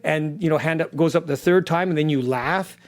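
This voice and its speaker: A man speaks in an interview as a sound designer, in a slightly high voice. He explains his work earnestly and leans on key words for emphasis. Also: a little fast. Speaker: audio drama sound designer.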